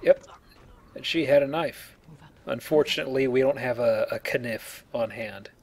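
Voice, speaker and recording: A man talks casually through a video game he is playing, with a wry, joking manner. His pitch is average. There is faint noise behind him. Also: moderate pace. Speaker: let's play host and player. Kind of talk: casual gameplay commentary.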